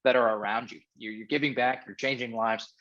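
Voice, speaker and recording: A man's voice: mid-range voice, steady tone, fairly quick, highs cut off. A man hosting a podcast, eager and stumbling over repeated words. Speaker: podcast host.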